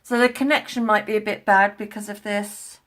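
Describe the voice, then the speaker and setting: A woman's voice: medium pitch, steady tone, moderate pace, fairly bright tone. Woman, sniffly head cold; chatty, rambling live-stream crafter talking into a tablet at home. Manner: rambling, chatty, many fillers and restarts. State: congested with a head cold, coughing. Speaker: craft vlogger. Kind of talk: casual live-stream chat while crafting. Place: at home indoors.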